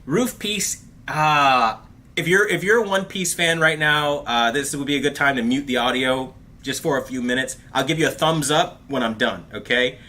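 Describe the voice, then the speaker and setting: A man hosting a casual livestream, talking in an animated, chatty way with plenty of fillers and calling his viewers bro and dude. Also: mid-range voice, normal speed. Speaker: host of a TV review livestream. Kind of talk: casual livestream chat reading viewer comments.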